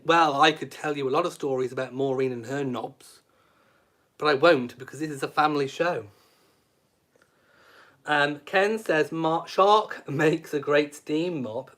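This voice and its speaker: A man's voice: on the high side, a bit bright. A man, hosting a live stream and reading out viewer comments in a cheeky, self-mocking way. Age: late 30s. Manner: cheeky, camp, self-mocking humour with frequent laughs. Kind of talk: casual live stream chat.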